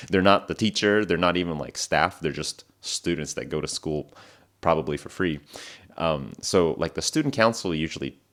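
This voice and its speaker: A man in his twenties hosting a casual podcast, talking through stories in a relaxed, rambling way, full of fillers and restarts, his voice sounding lower than usual in the morning. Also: normal speed. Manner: relaxed, rambling, many fillers and self-corrections. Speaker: podcast host. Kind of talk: casual storytelling monologue for English learners.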